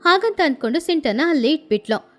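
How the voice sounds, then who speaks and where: A woman tells a children's moral tale in a high-pitched voice. It is scripted storytelling narration with music playing behind her. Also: lively intonation, fairly quick. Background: background music.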